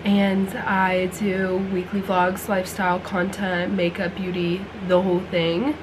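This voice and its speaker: Hoarse young woman vlogging. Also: on the low side, little pitch movement, unhurried, background noise. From United States. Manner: chatty, bubbly, heavy use of "like" and "you guys". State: hoarse, losing her voice. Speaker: lifestyle vlogger. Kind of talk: casual chat to camera.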